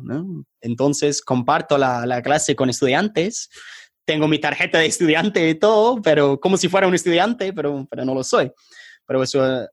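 English guy speaking as non-native, kinda high voice, searches for words, chatty interview, really dry recording. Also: dry recording. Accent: English accent in Spanish. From England. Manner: hesitant, searching for words, chuckles often. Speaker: podcast guest, online tutor and startup founder. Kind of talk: casual interview conversation.

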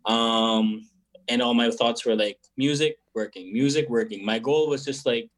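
A young man speaks as an interview guest. He is thoughtful and unhurried in his answers. He often pauses with fillers and starts his sentences over. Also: mid-range voice. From Philippines. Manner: reflective, hesitant, many fillers and restarts. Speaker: guest musician.

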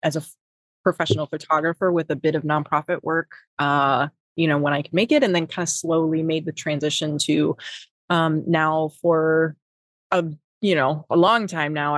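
Brief: middle-aged woman, Wisconsin accent, low voice, dry close recording, meandering interview answers. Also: no room sound. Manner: rambling, many fillers and restarts. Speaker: podcast guest, nonprofit executive. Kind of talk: casual interview talk.

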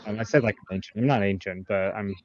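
A man in his thirties hosting a casual group podcast, laid-back and rambling with many pauses and ums. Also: on the low side, muffled recording. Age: 30s. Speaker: podcast host.

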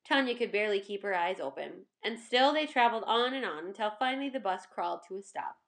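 A woman reading a children's story aloud in expressive scripted narration. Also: medium pitch, fast talker.

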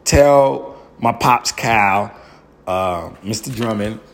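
A young male stand-up comedian with a loud voice, telling his story in an animated interview and acting out the people he quotes. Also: fairly low pitch, takes it slowly. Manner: acts out quoted people in bursts.

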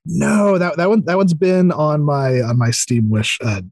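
A man's voice: on the high side, steady tone, a little slow, creaky, darkish tone, no echo, clean studio sound. A man in a casual interview chat as a podcast guest, thinking aloud hesitantly but with enthusiasm. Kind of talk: casual interview chat about video games.

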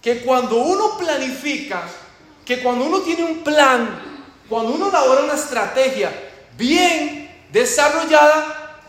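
A man's voice: very high voice, expressive, a little slow, fairly bright tone. A man with a Costa Rican accent preaching and teaching in a church, praying and asking the congregation questions with emphasis. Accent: Costa Rican Spanish. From Costa Rica. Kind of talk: church teaching talk with prayer. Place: large echoey church hall. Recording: very echoey hall with faint noise.

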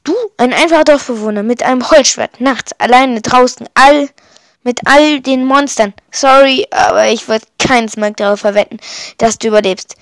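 A woman's voice: on the high side, normal speed, no room sound, lightly acted. A woman voicing a cautious, sceptical villager boy in a storybook, blunt and full of doubt. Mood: teasing doubt.

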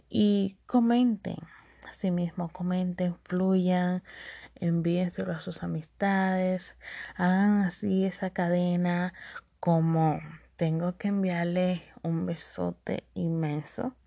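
Woman, Dominican accent, gravelly, dry close sound, chatty podcast host. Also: fairly low pitch, mellow, no echo. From Dominican Republic. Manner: chatty, repeats catchphrases, laughs often. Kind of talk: solo podcast monologue.

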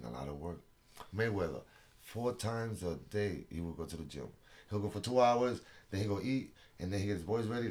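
A middle-aged man from Puerto Rico, a boxing coach chatting casually in an interview, animated and joking with frequent laughs. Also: on the low side.

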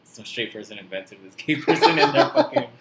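A man's voice: mid-range voice, wildly swooping pitch, brisk, very noisy. A man chatting casually on a podcast, laid-back, swearing freely and saying "like" a lot. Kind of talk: casual chat. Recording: roomy echo.

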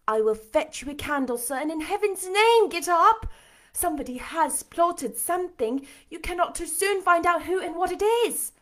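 A woman's voice: very high-pitched, lively intonation, normal speed, fairly bright tone, pitched above the performer's own voice. A woman voicing an earnest young governess in a novel, urgent and pleading, deferential yet firm. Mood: insistent.

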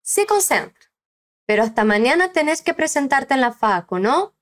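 A woman with a Brazilian Portuguese accent, teaching a language lesson slowly and clearly, prompting the listener to repeat. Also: high-pitched, big pitch swings. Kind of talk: scripted lesson narration.

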